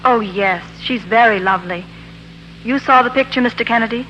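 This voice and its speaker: Bright-voiced young-starlet style radio guest, woman. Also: fairly high pitch, brisk, muffled recording, faint music behind. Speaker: film studio actress, commercial guest. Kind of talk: scripted 1940s radio testimonial. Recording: old radio broadcast with faint hiss.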